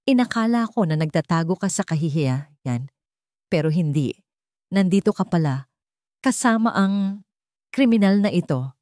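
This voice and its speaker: A woman's voice: lowish voice, lively intonation, measured pace, pauses often, a little mellow, dry recording, studio quality. A woman voicing a scheming, ruthless man who taunts and threatens his rivals in a storybook drama. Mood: taunting. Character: scheming ruthless male rival, sneering and cold.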